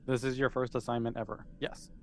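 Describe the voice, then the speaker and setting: A man's voice: medium pitch, flat delivery, a little fast, slight background noise. A man running a tabletop game as game master, narrating casually and improvising as he talks. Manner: conversational, improvising as they go.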